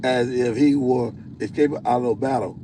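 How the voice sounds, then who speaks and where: A man with a deep voice preaching on a livestream. He reads scripture slowly, stumbling and repeating words. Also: mellow, slight background noise, low-quality recording. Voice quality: self-described deep voice. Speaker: self-styled prophet hosting a livestream.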